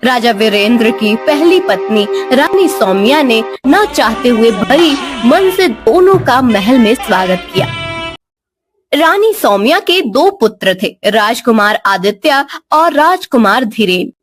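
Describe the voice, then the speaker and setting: A woman narrates a scripted story in a fairly high voice. Her delivery is animated and expressive, with plenty of pitch movement, over background music. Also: slow.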